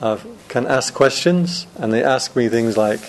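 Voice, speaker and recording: A man speaking unhurriedly to a student audience as a monk giving a casual Dharma talk. He chats, teases his listeners and laughs at his own jokes, with a middling pitch and faint room noise behind him. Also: expressive, measured pace. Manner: chatty and joking, laughs often.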